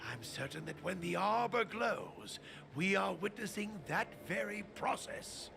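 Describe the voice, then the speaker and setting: An actor playing an elderly, courteous artisan master in a video game, speaking in formal, old-fashioned, theatrical phrases. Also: male voice, sits high, expressive, unhurried, vocal fry, background noise, exaggerated voice. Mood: measured, scholarly.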